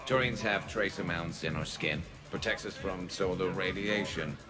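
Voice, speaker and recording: A man's voice: sits low, normal speed, music in the background, natural voice. An actor playing a wry, dry-witted male alien soldier in a video game.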